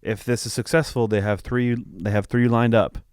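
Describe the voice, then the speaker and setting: A man's voice: medium pitch, steady tone, moderate pace, darkish tone, clean tone, no echo, clean studio sound. A man co-hosting a podcast in casual film review chat, often hesitating and rewording mid-thought. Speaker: podcast co-host.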